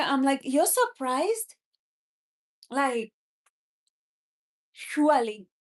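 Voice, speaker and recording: High-voiced Kenyan woman, slow mocking chat. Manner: mocking, laughs often, answers chat. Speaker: livestream host. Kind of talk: casual political livestream chat.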